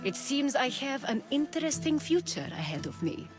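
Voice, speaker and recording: A woman's voice: high voice, very animated, moderate pace, over loud music. A woman playing a gracious, knowing ally in a video game cutscene set in ancient Greece. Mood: gracious, assured.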